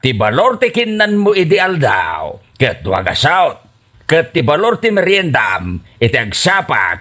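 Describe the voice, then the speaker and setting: Man playing a high-pitched, stingy Ilocano boss. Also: bright, forceful tone, heavily acted. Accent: Filipino, Ilocano. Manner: grumbling, scolding, exaggerated. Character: stingy, scolding male boss of a laborer, an elder. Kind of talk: Ilocano radio comedy drama acting.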